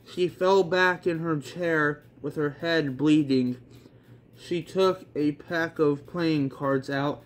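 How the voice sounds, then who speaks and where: A man's voice: fairly high pitch, fairly even intonation, slow. A man reading a story aloud in an amateur way, with rambling, informal asides and some swearing. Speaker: reader of a self-made manga story. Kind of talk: amateur story read-along with casual asides.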